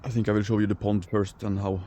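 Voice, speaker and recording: A man's voice: on the low side, flat delivery, fast, mellow. A man from Norway, not a native English speaker, casually rambling through a tour of his apartment, stumbling over words and chuckling at himself. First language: Norwegian. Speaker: aquarium hobbyist vlogger. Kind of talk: casual home tour vlog. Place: apartment, indoors. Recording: slightly dull home recording with faint noise.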